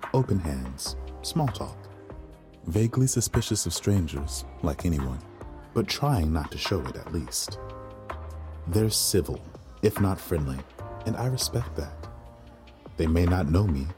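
A man's voice: deep voice, lively intonation, a little slow, darkish tone, faint music behind. A man playing a weary, resentful waiter who narrates an audio drama in a dry, sardonic inner monologue. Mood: dry, observant. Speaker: lead actor and narrator.

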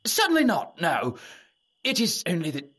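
An actor in an audio drama playing a pompous, nervous henpecked husband and court official who stammers when flustered. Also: male voice, fairly high pitch, wildly swooping pitch, moderate pace, a bit bright, husky, heavily acted. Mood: nervous, scheming.

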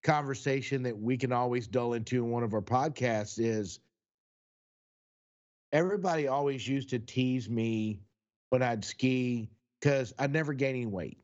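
A man's voice: medium pitch, moderate pace, darkish tone, muffled recording. A man in his sixties chatting casually on a podcast, rambling through stories. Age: 60s. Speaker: podcast co-host.

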